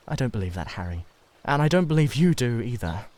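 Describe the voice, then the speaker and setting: Man playing an earnest, troubled gentleman painter. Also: mid-range voice, sing-song, moderate pace, close to an everyday voice. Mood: earnest reflection. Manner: earnest, dramatic, hesitant confiding. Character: earnest, anxious Victorian gentleman painter, intense and confessional.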